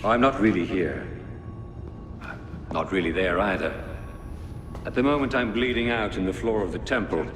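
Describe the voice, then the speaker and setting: Male actor as fanatical mastermind villain, very low voice, measured preaching delivery, video game drama, noisy reverberant hall. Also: close to an everyday voice. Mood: fervent. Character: grave fanatical Templar mastermind, a dying male visionary villain. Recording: echoey hall reverb, noisy ambience behind.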